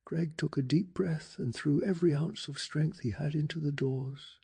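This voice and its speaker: A man softly narrating a bedtime story in an ASMR style, with a calm, hushed, breathy delivery and some gravel in the voice. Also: on the high side. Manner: slow, calming, hushed delivery. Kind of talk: soft-spoken ASMR storytelling.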